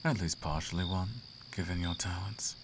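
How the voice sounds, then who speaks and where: A man's voice: low-pitched, steady tone, moderate pace, noisy background. A man playing a suave, flirtatious, imperious demon prince in an intimate roleplay monologue, teasing and silky with grand formal phrasing. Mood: curious, flirtatious. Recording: clear outdoor cricket ambience behind.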